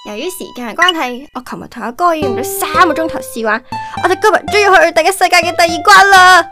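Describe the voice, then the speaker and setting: A woman's voice: very high voice, quick pace. A woman playing a bubbly, bossy young daughter with a Hong Kong accent in a comic audio drama. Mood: playful presenting. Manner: excitable, exclamatory. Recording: music behind the voice.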